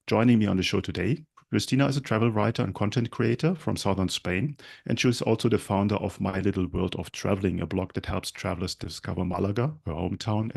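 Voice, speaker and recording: A man hosting a travel podcast, opening with a steady, scripted introduction and then interviewing his guest. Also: low-pitched, vocal fry, breathy edge. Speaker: podcast host.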